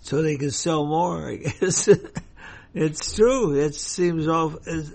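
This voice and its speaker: A man in his nineties, a host's grandfather, chatting plainly at home and chuckling softly. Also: on the high side, slow, creaky. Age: 90s. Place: home condominium. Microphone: table mic moved close.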